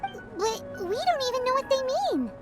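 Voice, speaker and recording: Squeaky, swooping little game fairy. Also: female voice, very animated, a little slow, exaggerated voice. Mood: doubtful, exasperated. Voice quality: squeaky, childlike. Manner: refers to herself in third person, complaining. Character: tiny childlike female floating fairy companion, pouty, excitable, blunt. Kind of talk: English video game character dialogue. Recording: music behind the voice.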